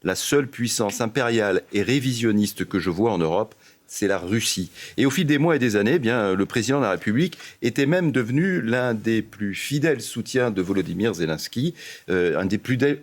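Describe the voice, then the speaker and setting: A man's voice: lowish voice, expressive, fairly quick. A man with a French accent from France giving explanatory political news analysis as a commentator, emphatic and addressing his listeners directly.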